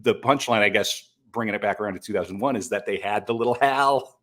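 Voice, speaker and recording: A man's voice: fairly low pitch, fast talker, fairly bright tone. A man co-hosting a podcast, talking casually about films in an effusive, emphatic way and repeating his phrases. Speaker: podcast co-host. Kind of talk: casual film discussion.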